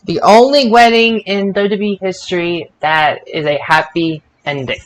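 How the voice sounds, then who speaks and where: A man's voice: sits high, normal speed, poor audio. A man co-hosting a podcast, recapping shows in an informal, bantering way and stumbling over his words now and then. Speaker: podcast co-host. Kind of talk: casual wrestling show recap.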